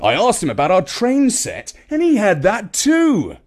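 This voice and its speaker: A man's voice: high-pitched, huge pitch swings, measured pace, a little mellow, voice pushed up, exaggerated voice. A man voicing a breezy, self-assured uncle in a children's story, with a drawling, emphatic, chatty way of talking. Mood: confiding, triumphant. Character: breezy, charmed, self-assured younger or middle-aged uncle.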